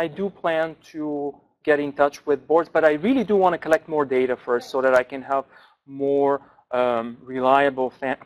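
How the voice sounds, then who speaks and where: A man, a language researcher, answering questions at an academic forum in a thoughtful way with frequent pauses and restarts. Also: fairly high pitch, low-quality recording. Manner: thoughtful, many fillers and restarts.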